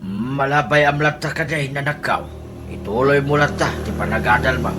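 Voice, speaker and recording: A man's voice: medium pitch, steady tone, normal speed, natural voice. Man playing a protective, earnest father, noisy background. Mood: encouraging. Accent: Filipino (Ilocano). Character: protective, encouraging middle-aged father. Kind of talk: Ilocano comedy radio drama acting.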